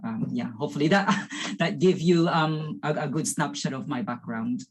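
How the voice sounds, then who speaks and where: A man in his thirties from the Philippines with a Filipino accent, a podcast guest telling his story with many pauses and repeated words. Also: a little high. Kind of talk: casual interview talk.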